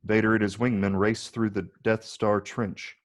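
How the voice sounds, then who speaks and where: A man with a low, gravelly voice reading screenplay stage directions as the narrator. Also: little pitch movement, normal speed.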